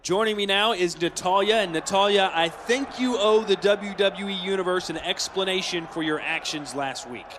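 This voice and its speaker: A formal man conducts a backstage wrestling interview as a game voice actor. His voice is fairly high, in video game broadcast style, with clear noise behind him. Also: moderate pace, few pauses, lightly acted. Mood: formal, probing.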